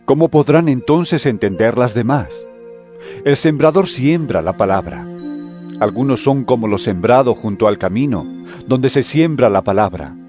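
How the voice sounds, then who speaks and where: Male actor as authoritative holy teacher, calm, solemn, deliberate, declamatory, mid-pitched, audio Bible drama, music underneath. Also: close to an everyday voice. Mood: explaining gravely.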